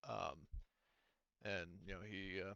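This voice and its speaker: A man with a bright, clean voice runs a tabletop roleplaying game as its game master. He narrates casually and haltingly, feeling his way through sentences. The recording is close and dry, slightly dull like voice chat. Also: deep voice, fairly even intonation, vocal fry, smooth, no echo.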